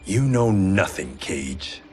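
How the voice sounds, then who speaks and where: A man's voice: deep voice, very animated, slow, a little mellow, heavily acted. An actor playing a stern, proud clan swordsman with a terse, grave, menacing manner in a video game cinematic. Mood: cold, threatening.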